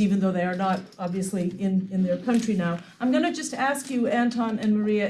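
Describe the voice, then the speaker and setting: A woman's voice: mid-range voice, mellow. A woman moderating an academic event in a lecture room, introducing speakers politely with a few fillers. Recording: distant mic with faint noise.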